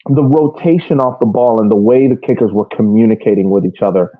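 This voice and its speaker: A man's voice: fairly low pitch, a little fast, no echo. A man co-hosting a podcast, giving casual, detailed match analysis, often restarting and repeating phrases as he builds his point. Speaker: podcast co-host. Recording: thin phone-like band-limited audio.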